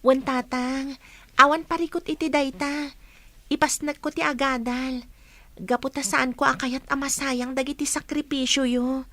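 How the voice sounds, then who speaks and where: A woman's voice: high voice, flat delivery, moderate pace, pushed and bright, dry recording. A woman playing a dutiful, studious young college student daughter in an Ilocano radio drama, with a Filipino accent. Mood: earnest.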